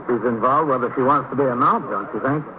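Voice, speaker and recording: An actor with an American accent playing a businesslike, authoritative police captain in an old-time radio drama, speaking in a clipped, matter-of-fact way. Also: male voice, mid-range voice, fast talker, natural voice. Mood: probing, firm. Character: police precinct captain, steady, businesslike commanding man. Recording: muffled, noisy old radio recording.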